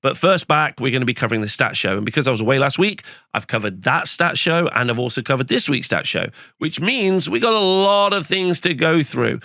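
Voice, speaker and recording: Croaky guy rambling thru results, dry close mic, no room at all. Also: mid-range voice, expressive, brisk, few pauses, sharp tone, dry recording. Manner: rambling, many fillers, listing game results. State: croaky, recovering lost voice. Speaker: host. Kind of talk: casual stats rundown. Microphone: home studio microphone.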